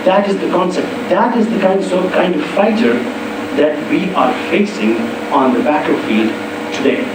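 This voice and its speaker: A man with a foreign accent giving a public university lecture, explaining with wry asides. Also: fairly high pitch. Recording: echoey hall from far off with hum.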